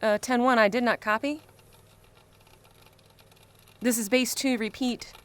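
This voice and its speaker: Anxious, high-voiced female base radio operator played by an actress. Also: fairly even intonation, measured pace, close to an everyday voice. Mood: bored, routine. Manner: radio-procedure call-outs, increasingly urgent. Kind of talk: audio drama acting.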